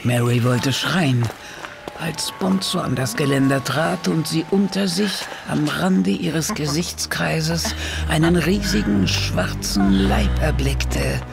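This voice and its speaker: A woman's voice: deep voice, lively intonation, slow pace, creaky, heavily acted. A woman giving dramatic scripted horror narration as the narrator of an audio drama. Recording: loud music behind the voice.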